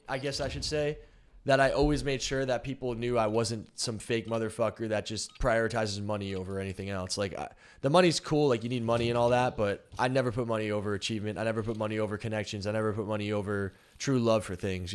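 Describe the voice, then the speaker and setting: A young man streaming while he plays a game, chatting loosely and frankly with lots of "like" and some swearing. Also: on the low side. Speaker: streamer and pro team coach. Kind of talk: casual chat while gaming on stream.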